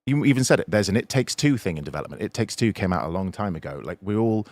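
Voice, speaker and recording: A man's voice: lowish voice, lively intonation, quick pace, darkish tone, no echo. A man in an unscripted panel discussion, giving opinions cynically and emphatically, repeating words for stress. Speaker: podcast panelist.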